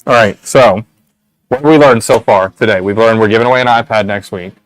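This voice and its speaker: A man's voice: on the low side, brisk, dry recording, low-quality recording. A young man with a nasal voice, hosting a casual shop livestream and rambling through giveaway details with a lot of "right?".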